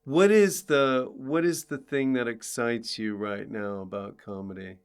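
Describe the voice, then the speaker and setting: A man's voice: mid-range voice, unhurried, background noise. A man hosting a podcast in casual conversation, dry and joking, speaking in short remarks. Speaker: podcast host, comedian. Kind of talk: casual chat.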